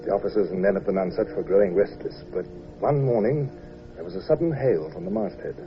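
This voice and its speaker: A man's voice: fairly low pitch, big pitch swings, a little fast, gravelly. A composed British naval commodore in vintage radio drama style. He speaks with clipped, courteous authority. The old recording is muffled, with music. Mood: resolute, grave. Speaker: commodore and narrator. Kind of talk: old-fashioned radio drama narration and acting.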